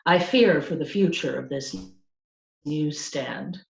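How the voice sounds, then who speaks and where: A woman voicing a gentle, appreciative male cat with a languid manner, in a storybook reading. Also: deep voice, slow pace, gravelly, roomy sound, highs cut off, full character voice. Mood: concerned.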